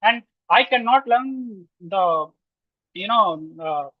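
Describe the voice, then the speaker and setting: A man from Lucknow with an Indian English accent, answering questions as a guest researcher with many pauses and restarts. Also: high voice, expressive, no room sound. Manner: frequent "uh" pauses and restarts, reasoning out loud. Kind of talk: webinar answers, hesitant and filler-heavy. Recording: dull, dry video call audio.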